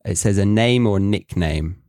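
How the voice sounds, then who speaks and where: A man's voice: lowish voice, measured pace, darkish tone, no room sound. A British man hosting a podcast, chatting in a laid-back way with dry wit. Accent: British English. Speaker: podcast host. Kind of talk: casual chat.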